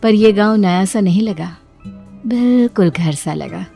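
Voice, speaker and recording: A woman's voice: medium pitch, measured pace, vocal fry, dark tone, soft background music. A woman voicing a self-assured, cryptic newcomer mother in a cartoon story. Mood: calm, cryptic.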